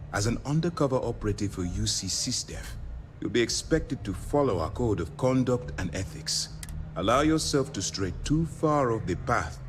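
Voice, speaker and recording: An actor playing a stern, measured military commander in a video game, speaking with grave, deliberate authority. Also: male voice, lowish voice, a little hiss or hum, lightly acted. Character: stern, measured male military commander briefing an undercover operative. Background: background music.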